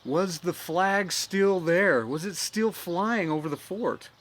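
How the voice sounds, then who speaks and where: A man hosting his own storytelling show outdoors, speaking earnestly and growing more animated in the dramatic parts. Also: high voice, takes it slowly. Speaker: storyteller host. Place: outdoors in nature.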